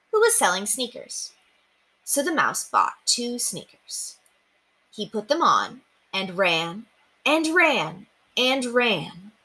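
A young woman reading children's stories aloud as an expressive storyteller. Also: medium pitch. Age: young adult. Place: small indoor room.

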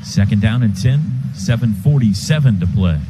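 An American man calling live football on the radio as play-by-play announcer, in clipped phrases that build toward excited calls. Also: on the low side, moderate pace. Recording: stadium booth with loud crowd noise.

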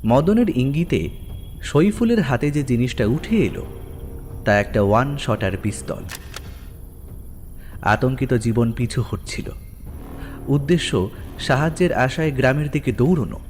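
Male narrator, horror storytelling, dramatic scripted delivery, animated intonation, soft background music. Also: mid-range voice, unhurried, pauses often.

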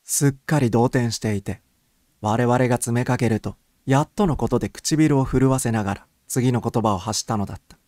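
A man's voice: mid-range voice, moderate pace, warm tone, no room sound, studio quality. A man reading a first-person story as a scripted audiobook narration.

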